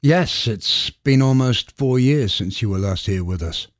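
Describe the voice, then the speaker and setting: A man's voice: mid-range voice, animated, measured pace, no echo. A man hosting a podcast, introducing and interviewing in a scripted style from a studio.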